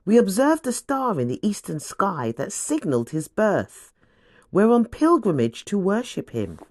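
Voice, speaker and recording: A woman reading scripture as scripted audiobook narration, with a deliberate, dramatic storytelling delivery. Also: medium pitch, big pitch swings, a little mellow, no echo.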